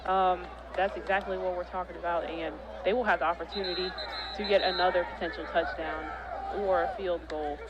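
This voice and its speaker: A man's voice: high voice, brisk, muffled recording. A man doing play-by-play for a college football game from a stadium press box, explaining each play at length. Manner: animated, explains the defense at length. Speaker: play-by-play commentator. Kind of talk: live football commentary. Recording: loud crowd and stadium noise. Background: loud crowd.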